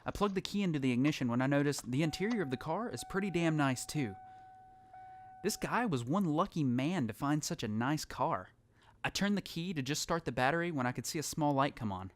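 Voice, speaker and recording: A man's voice: on the high side, lively intonation, brisk, slight background noise, lightly acted. A man playing a lone apocalypse survivor who tells his story in earnest, dramatic first-person narration for an audio drama. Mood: reflective. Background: music.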